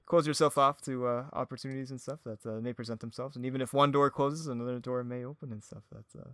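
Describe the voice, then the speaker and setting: A man chatting casually as a podcast guest, with a halting, rambling, self-effacing way of talking. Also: mid-range voice, steady tone, a bit bright, clean tone, dry recording, studio quality. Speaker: podcast guest, audio drama creator. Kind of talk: casual chat.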